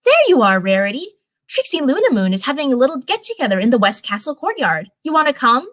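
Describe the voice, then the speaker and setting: A woman's voice: high voice, very animated, fast talker, fairly bright tone, dry recording, clean studio sound, pitched above the performer's own voice. A woman voicing a sociable young female cartoon unicorn who talks in a peppy, chatty way to her friends. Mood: friendly, eager.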